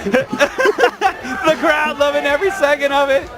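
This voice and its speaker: Male esports caster, very high register, exclamatory and hyped, calling matches live over clear music and crowd noise. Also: slow. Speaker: esports commentator. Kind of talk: excited live play-by-play commentary. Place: live tournament venue. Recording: loud event hall, crowd and music. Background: cheering crowd and music.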